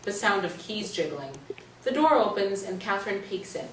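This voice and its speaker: A woman's voice: mid-range voice, expressive, normal speed, a bit bright, recorded from a distance. Woman, narrator, scripted stage directions, roomy echo, faint background noise.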